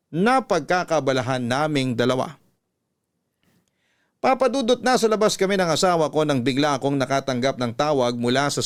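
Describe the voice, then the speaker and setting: A man reading a scripted emotional story in a measured, emotive way, like a radio storyteller. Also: mid-range voice, clean tone. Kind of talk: dramatic scripted story narration.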